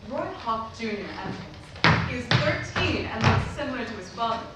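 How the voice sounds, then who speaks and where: A woman's voice: a little high, lively intonation, normal speed. Woman reading stage directions, echoey hall. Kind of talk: scripted narration at a staged reading. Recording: distant, very echoey hall, noisy.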